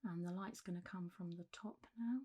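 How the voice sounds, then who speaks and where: Gentle, half-whispering woman as a clinic doctor. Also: lowish voice, slightly rough. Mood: calm, reassuring. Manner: gentle, reassuring, unhurried, drifting into whispers. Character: calm, reassuring female clinic doctor for zombie patients. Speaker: ASMR performer. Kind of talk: soft-spoken ASMR medical roleplay.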